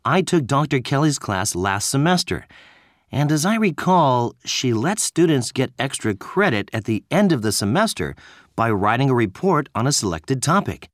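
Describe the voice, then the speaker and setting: A man voicing students and a professor in scripted dialogues for a listening exam, with a clear, friendly delivery. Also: mid-range voice, sing-song, moderate pace, no echo, studio quality.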